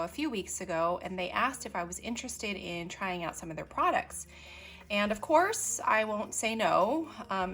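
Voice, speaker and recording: A woman chatting casually as she shows her desk items, unhurried and full of ums and little asides while she works. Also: fairly low pitch, creaky, fairly bright tone. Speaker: stationery vlogger. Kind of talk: casual show-and-tell narration.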